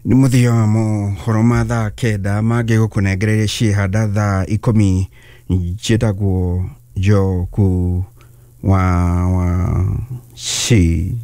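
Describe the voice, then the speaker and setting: A man reading the news as a radio anchor, in a measured, formal delivery. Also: on the low side, flat delivery, warm tone, dry recording. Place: radio studio.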